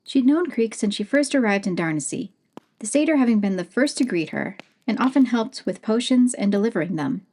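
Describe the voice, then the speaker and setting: A woman narrating a fantasy story in a gentle, unhurried way. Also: a little high, animated. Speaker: audiobook narrator.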